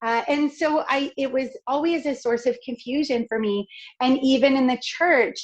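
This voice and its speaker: Raspy, outgoing woman guest. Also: high-pitched, moderate pace, highs cut off. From Canada. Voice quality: raspy, crackly voice. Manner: outgoing, expressive, laughs often. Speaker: podcast guest, speaker and author. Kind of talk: upbeat conversational interview.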